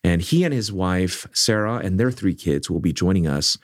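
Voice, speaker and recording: A middle-aged man born in South Korea, leading a seminary, speaking in an earnest, measured way with frequent restarts. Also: deep voice, lively intonation, no echo, studio quality. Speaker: seminary leader. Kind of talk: conversational interview.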